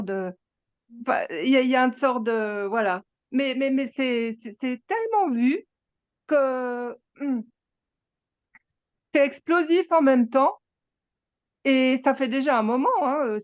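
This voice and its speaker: A woman, sharing her experience at a spiritual gathering, halting and emphatic, with many restarts. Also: sits high, no echo, highs cut off. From Switzerland. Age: 60s. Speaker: satsang participant.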